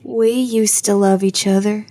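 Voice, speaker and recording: A woman playing a level-headed, guarded young adult daughter in an audio drama, measured and dry in manner. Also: mid-range voice, steady tone, mellow, lightly acted.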